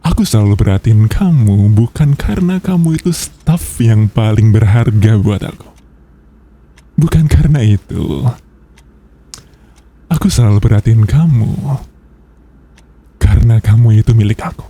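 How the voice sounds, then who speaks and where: A very dark, mellow male voice with an Indonesian accent, unhurried and husky. A man acts out a jealous, controlling boss in an intimate ASMR boyfriend roleplay. He sounds soft and menacingly sweet, teasing as he lays claim to his lover. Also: on the low side, animated. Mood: jealous, possessive. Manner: slow, teasing, controlling, with drawn-out pauses.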